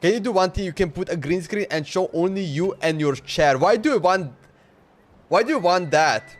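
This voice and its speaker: A man's voice: high-pitched, moderate pace. A man live-streaming a video game, reacting casually and chatting, often repeating himself. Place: indoors at a streaming setup.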